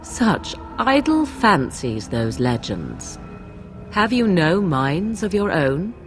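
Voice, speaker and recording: A haughty young witch of the wilds, speaks in archaic, mocking phrases for a fantasy game. Her delivery is slow and swoops in pitch, with music clearly behind it. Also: very animated. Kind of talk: theatrical fantasy game acting.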